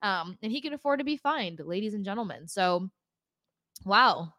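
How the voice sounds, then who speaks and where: A woman with a mid-pitched voice co-hosts a sports podcast. She gives casual, conversational analysis and leans emphatically on her points. The recording is dry, with no room sound. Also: lively intonation, normal speed, pushed and bright, no echo.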